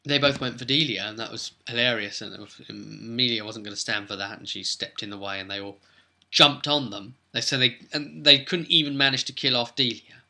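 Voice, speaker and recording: A man's voice: mid-range voice, brisk, gravelly, bright, forceful tone. A man hosting a vidcast as game master, casually recapping a tabletop role-playing session and explaining it emphatically, straight to his viewers.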